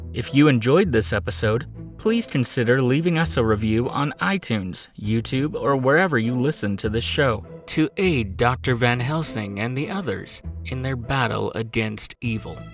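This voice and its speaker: A man's voice: mid-range voice, expressive, normal speed. A man reading a scripted outro as the announcer of an audio drama podcast. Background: music.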